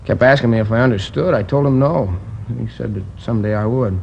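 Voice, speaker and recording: An actor playing a talkative, indignant liquor store manager recounting a robbery in a 1950s radio crime drama, rambling with hesitations. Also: male voice, mid-range voice, quick pace, creaky, a little mellow, slight background noise, close to an everyday voice. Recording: dull old radio transcription.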